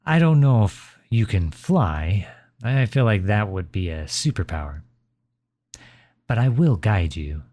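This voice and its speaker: A man playing a gentle, reassuring father comforting his child in a soft, soothing ASMR roleplay. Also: lowish voice, very animated. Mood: tender, encouraging. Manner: soft, soothing, unhurried encouragement.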